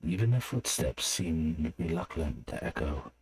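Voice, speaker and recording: Guy narrating a fantasy story, really low voice, takes his time. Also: sing-song, unhurried, gravelly, a little mellow.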